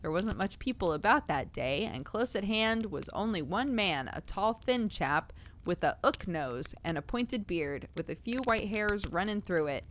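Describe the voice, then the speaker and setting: A woman voicing a gruff working-class male zookeeper, dropping his h's in a slow drawl. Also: medium pitch, expressive, a bit bright, a little hiss or hum. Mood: grumbling. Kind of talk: reading a Victorian tale aloud.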